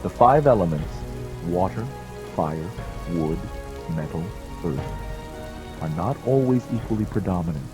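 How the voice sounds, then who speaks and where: A man reads as an audiobook narrator in a measured, solemn way, phrase by phrase, in a dramatized scripted style. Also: low voice, lively intonation. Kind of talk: dramatized scripted narration of an ancient military treatise. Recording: music and rain behind the voice. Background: background music with falling rain.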